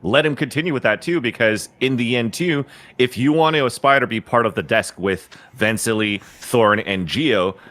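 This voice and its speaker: A man's voice: mid-range voice, normal speed, a bit bright, no room sound. A man, a guest commentator on a podcast, talking earnestly and giving advice with casual swearing.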